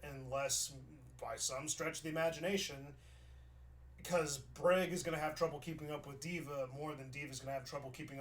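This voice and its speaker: A young man from New York talks through a gameplay review in a loose, conversational way. His voice sits a little high for a man. He thinks out loud and often circles back to restart a thought. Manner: chatty, thinks aloud with restarts. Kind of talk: casual gameplay review commentary.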